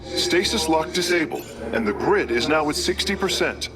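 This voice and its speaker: A man's voice: a little high, animated, moderate pace, heavy background noise. A man playing a polite, studious alien engineer in a video game, giving precise, formal technical reports in a deferential, eager manner. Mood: businesslike reporting. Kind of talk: dramatic video game character acting. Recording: voice under loud game music.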